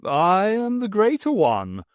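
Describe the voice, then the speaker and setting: A man's voice: high voice, huge pitch swings, slow pace, clear, resonant tone, no room sound, clean studio sound, voice pushed up, heavily acted. A man voicing a pompous, stately male alien leader in an audiobook, haughty and grand. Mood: haughty, wistful. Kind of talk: audiobook character voice.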